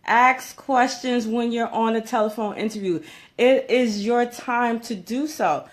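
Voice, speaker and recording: A woman's voice: fairly high pitch, measured pace. A woman speaking as a career coach in an informal advice vlog, conversational and emphatic, often checking in with her listeners. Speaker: career coach giving job interview tips.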